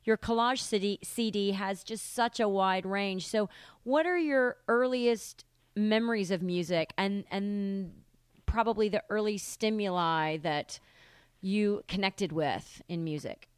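A woman's voice: medium pitch, unhurried, smooth, dry recording, clean studio sound. A woman hosting an interview, asking upbeat, eager questions in casual chat. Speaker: interview host.

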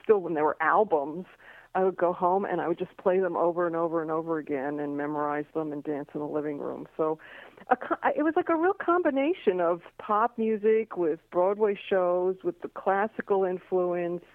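A woman's voice: lowish voice, normal speed, no room sound. A woman from Queens, New York, whose first language is Slovak, chatting as a guest in an interview, talking freely with many fillers and restarts. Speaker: singer and Broadway performer, guest. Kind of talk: casual interview chat. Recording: tinny phone line.

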